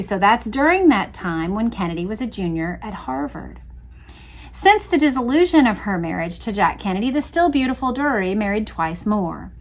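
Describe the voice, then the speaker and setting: A woman host reading aloud from a book and commenting casually, in a conversational, explanatory way to her listeners. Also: fairly high pitch.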